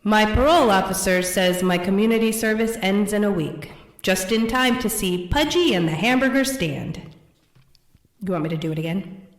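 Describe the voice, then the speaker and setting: A woman's voice: mid-range voice, normal speed, echoey room, recorded from a distance, close to an everyday voice. A woman playing a flustered stumbling theater announcer.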